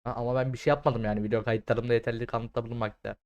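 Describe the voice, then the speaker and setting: A young man doing casual, slangy game commentary, swearing freely and repeating words in excited bursts. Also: mid-range voice, racing, clear, resonant tone, dry recording, lo-fi sound. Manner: foul-mouthed, slangy. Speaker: gaming streamer.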